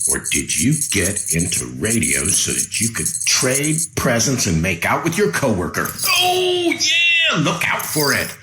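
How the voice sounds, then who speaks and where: An actor voicing a brash, excitable, pushy and lecherous visitor to a radio station in an audio comedy. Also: medium pitch, measured pace, hardly any pauses, soft background music, heavily acted. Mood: brash, teasing. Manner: exclamatory, pushy, swooping delivery. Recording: roomy, noisy recording with clicks.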